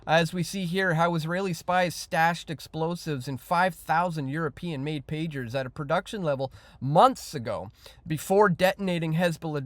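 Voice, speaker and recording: Man, news commentator, fairly high pitch, halting emphatic delivery, reading headlines, very dry close sound. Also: no echo. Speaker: independent news host and commentator.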